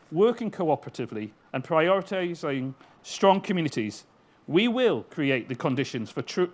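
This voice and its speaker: A man delivering a formal, scripted speech to a summit. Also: fairly high pitch. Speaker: speaker at a summit. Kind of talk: prepared speech.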